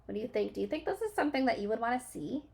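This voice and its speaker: A woman's voice: fairly high pitch, big pitch swings, racing, creaky, a little mellow. A young woman teacher reading a children's book aloud, stopping to ask questions and explain.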